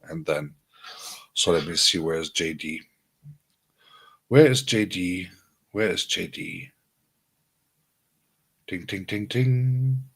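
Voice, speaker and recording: A man's voice: low voice, slow pace, long pauses, fairly bright tone, breathy edge. A man hosting a casual livestream, rambling through announcements for his viewers with many fillers and restarts. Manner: friendly, announcing upcoming streams to viewers. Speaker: livestream host and DJ.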